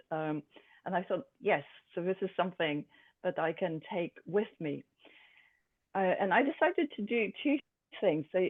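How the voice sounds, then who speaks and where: A speaker born in Poland, an artist presenting her own work in a thoughtful, halting way with many pauses and fillers. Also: medium pitch. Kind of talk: art presentation to a group. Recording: tinny phone-like sound.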